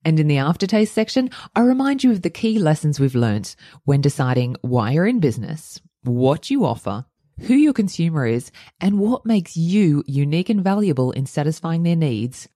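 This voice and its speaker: An Australian woman hosts an interview podcast in a very low, mellow voice that still moves lively through its range. The recording is close and dry, with no room sound. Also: moderate pace, no room sound. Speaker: podcast host and coach.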